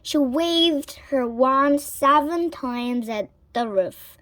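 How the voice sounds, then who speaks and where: A woman reading a children's story aloud in a dramatic way, sometimes hushed. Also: high-pitched, slow pace, no room sound. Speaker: storybook reader.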